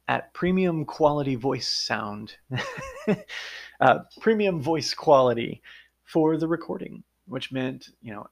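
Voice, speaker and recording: A man's voice: mid-range voice, creaky. A man hosting his own podcast, talking through his work as an audiobook narrator in an earnest, step-by-step conversational way. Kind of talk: casual solo podcast monologue.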